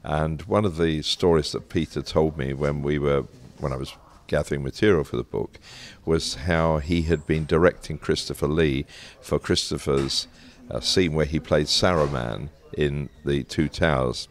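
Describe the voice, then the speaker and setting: An older man with a British accent and a very low, gravelly voice. He talks as a guest in an interview, telling stories conversationally, often pausing to rephrase and wander into asides. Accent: British English. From Britain. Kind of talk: conversational interview, anecdotal storytelling.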